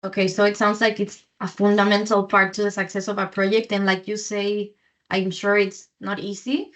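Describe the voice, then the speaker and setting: A woman with a mid-pitched voice hosts a podcast and asks her guest prepared questions. She speaks smoothly and kindly in a steady interviewer's manner. The recording sounds slightly dull and lo-fi. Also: moderate pace. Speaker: podcast host. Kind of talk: scripted podcast hosting.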